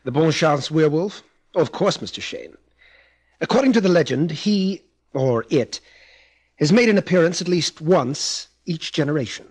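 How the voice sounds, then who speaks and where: An actor playing a skeptical, smug amateur family historian, dry and articulate, in a 1940s style radio drama. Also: male voice, fairly high pitch, lively intonation, normal speed, a little mellow, husky. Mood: dryly dismissive.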